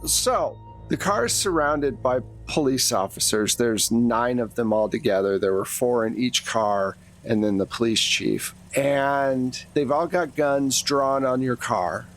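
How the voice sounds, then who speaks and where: A man's voice: medium pitch, unhurried, soft background music. A man narrating a tabletop roleplaying game as game master, telling the story in a conversational way.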